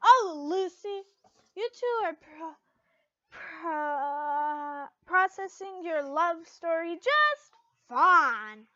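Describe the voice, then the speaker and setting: A woman's voice: extremely high pitch, very animated, very slow, pushed and bright, dry recording, highs cut off, heavily acted. A woman voicing an eager, teasing teenage schoolgirl friend in a fan fiction story, gushing as she talks. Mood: excited, gushing.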